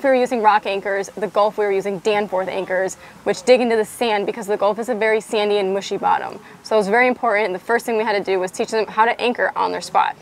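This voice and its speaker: A young woman in her twenties explaining fishing techniques in a relaxed, upbeat way, talking outdoors on an open boat. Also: medium pitch, a little hiss or hum. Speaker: fishing guide and host. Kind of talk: instructional fishing vlog.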